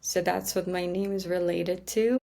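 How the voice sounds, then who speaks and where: A woman's voice: on the low side, steady tone, gravelly, warm tone. A woman from Iran telling her life story as a podcast guest, hesitant and full of restarts. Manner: slow, hesitant, many ums and restarts.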